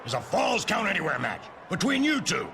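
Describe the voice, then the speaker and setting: A man's voice: high-pitched, sing-song, brisk, background noise. A man playing a pompous, self-admiring wrestling company boss in a video game, speaking theatrically. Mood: indignant command. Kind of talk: video game cutscene speech.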